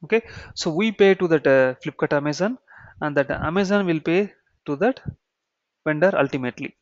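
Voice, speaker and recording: A man with an Indian accent giving an explanatory software tutorial, repeating key phrases and checking in with "okay" and "right". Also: on the high side, normal speed, dry recording. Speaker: software trainer. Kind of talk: explanatory screen-share tutorial. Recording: dull, muffled lo-fi sound.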